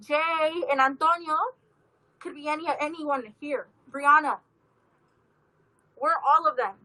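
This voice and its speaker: A woman's voice: high voice, bright, forceful tone, low-quality recording. A woman from California giving an earnest, urgent rally speech as an activist. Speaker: activist, victim's sister.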